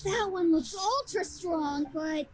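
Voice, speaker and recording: A woman voicing a plucky, earnest little village boy in a video game, with a husky, boyish tone. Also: extremely high pitch, takes it slowly, slight background noise. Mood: confident, competitive.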